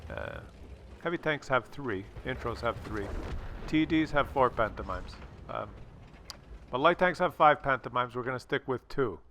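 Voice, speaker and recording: Bright-voiced man casually commentating a game. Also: mid-range voice, expressive, normal speed, slight background noise. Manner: jokey, crude humour, repeats phrases. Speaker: host of gameplay commentary video.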